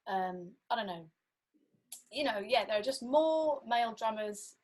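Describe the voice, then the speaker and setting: A woman's voice: a little high, animated, unhurried, darkish tone. Rambling woman drummer on a podcast. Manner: rambling, thinks aloud with many fillers. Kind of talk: casual podcast chat.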